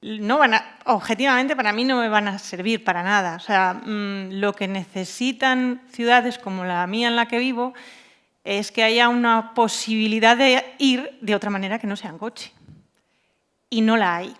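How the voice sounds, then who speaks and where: Higher-voiced woman. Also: normal speed, fairly bright tone, clear, resonant tone. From Asturias, Spain. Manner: colloquial, personal anecdotes, emphatic repetition. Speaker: panel speaker. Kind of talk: informal round-table talk.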